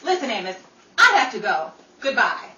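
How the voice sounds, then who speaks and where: A woman's voice: mid-range voice, sing-song, moderate pace, lightly acted. A woman playing a vain, wisecracking Hollywood actress in an old-time radio comedy style, theatrical and sassy. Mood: brisk. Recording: roomy, distant, dull sound with faint noise.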